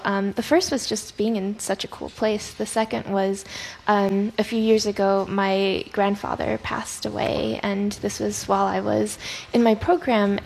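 A woman whose first language was Chinese talks through her answers as an interview guest, thoughtful and earnest with the odd soft filler. Her voice has a gravelly edge. A faint hiss sits under the recording. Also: mid-range voice. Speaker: author guest.

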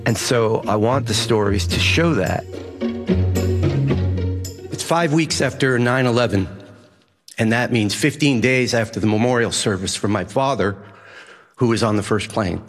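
A middle-aged man from Massachusetts, a journalist, telling a personal story in a lively, vivid way. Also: mid-range voice, measured pace, long pauses, in a large hall, faint music behind. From Massachusetts, USA. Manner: vivid, animated when recounting action. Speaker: journalist and news editor.

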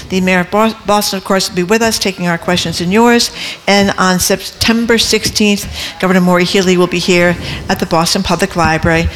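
A woman co-hosting a live radio show from a library, announcing upcoming guests and asking questions in a brisk, upbeat way. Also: medium pitch, fairly bright tone. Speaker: radio co-host. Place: public library.

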